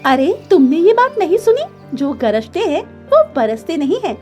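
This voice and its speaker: Small female bird, cartoon, woman performer: very high, lively swoops, upbeat, sure of herself. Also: normal speed, faint music behind. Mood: confident. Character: cheerful confident little female bird farmer.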